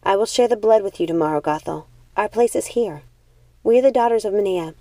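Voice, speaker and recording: Eldest witch sister female audiobook reader, composed, resolute, mellow voice, dry close sound. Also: medium pitch, dry recording, close to an everyday voice. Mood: calm, resolute. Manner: calm, firm, deliberate.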